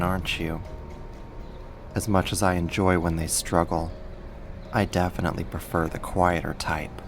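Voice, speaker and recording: A man's voice: low voice, creaky. A man playing a sadistic, mocking villain and kidnapper in an ASMR roleplay, with a controlling, condescending manner. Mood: taunting, controlling. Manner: slow, taunting, controlling, condescending.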